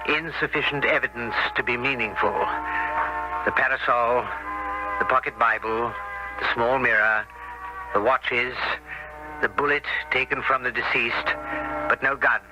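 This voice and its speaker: Actor as a formal, deliberate police inspector. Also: male voice, medium pitch, close to an everyday voice, rough recording. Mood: grave, deliberate. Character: measured, matter-of-fact Scotland Yard police inspector. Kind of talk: 1970s radio drama acting and narration.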